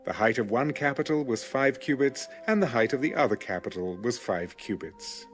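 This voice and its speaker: A male narrator reads scripture in a measured, solemn way. His pitch moves in a lively range, and faint music plays behind him. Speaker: Bible narrator.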